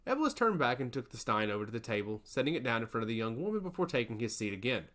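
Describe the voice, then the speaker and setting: A male storyteller reads fantasy fiction at a medium pitch. His intonation is very lively, rising and falling widely through the scripted narration. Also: racing.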